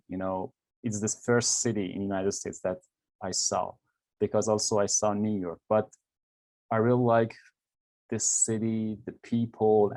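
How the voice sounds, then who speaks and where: A young man from Iran with an Iranian accent, Persian his first language, answering interview questions in a halting way, often pausing with fillers and restarting sentences. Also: lowish voice, a little mellow, no room sound, poor audio. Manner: halting, searching for words with many fillers and restarts. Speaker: graduate film student.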